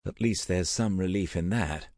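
A man playing a proud, easily affronted young gentleman in an audiobook, with a clipped, formal manner. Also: low voice, moderate pace, no echo, clean studio sound. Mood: icy contempt. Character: proud, indignant aristocratic young gentleman, courteous to his mother. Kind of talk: audiobook character acting.

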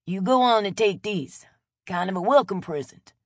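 A woman's voice: medium pitch, animated, moderate pace, dry recording, clean studio sound, lightly acted. A woman voicing a friendly old Cajun man with a folksy Louisiana drawl in an audiobook. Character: friendly old Cajun man, weathered bait-shop regular. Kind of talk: audiobook character voice.

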